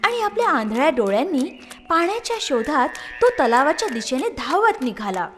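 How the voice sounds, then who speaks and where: A woman narrating a scripted children's moral story in an expressive, lilting storytelling way. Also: high voice, moderate pace, soft background music.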